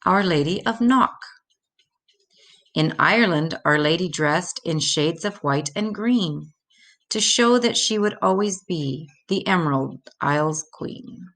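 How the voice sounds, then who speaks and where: An older woman reading a rhymed children's religious story aloud in a measured, deliberate way. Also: lowish voice, expressive, fairly bright tone.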